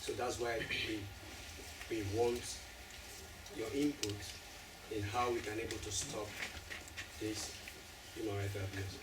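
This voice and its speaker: A man on a panel making a halting appeal to students. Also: fairly low pitch, steady tone. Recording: echoey hall from far off, noisy.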